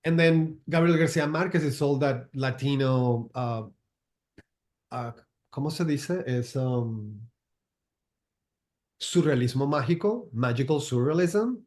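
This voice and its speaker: A man's voice: medium pitch, unhurried, long pauses, a little mellow. A man from Venezuela, a makeup artist guest chatting loosely with many fillers and restarts. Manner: rambling, lots of fillers, restarts and slips into Spanish. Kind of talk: casual interview chat.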